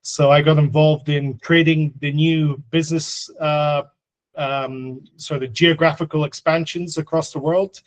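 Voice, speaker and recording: A man originally from Scotland, raised partly in the Middle East, speaks in a slightly high register for a male voice. He sounds like a company director giving an informal introduction on an online video meeting. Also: little pitch movement, a little slow, rough recording. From Scotland, then the Middle East from age ten. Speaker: business development director, guest speaker.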